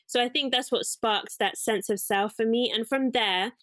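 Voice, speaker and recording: A woman's voice: a little high, moderate pace, fairly bright tone, dry recording. A young woman from England, a guest talking about her life in a casual interview, reflective and rambling with fillers and self-corrections. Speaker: guest, curly hair salon owner.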